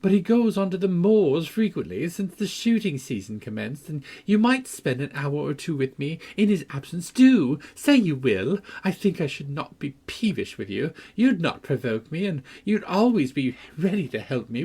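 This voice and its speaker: A man's voice: on the high side, sing-song, normal speed, few pauses, dark tone, breathy edge, voice pushed up, heavily acted. A man voicing a peevish, sickly boy who whines and taunts in a self-pitying way. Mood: wheedling.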